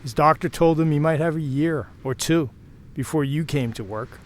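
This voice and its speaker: A man's voice: on the high side, normal speed, close to an everyday voice. A man playing a frank, protective married mill worker and former lover in an audio drama, talking in a plain, reassuring, conversational way. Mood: frank, consoling.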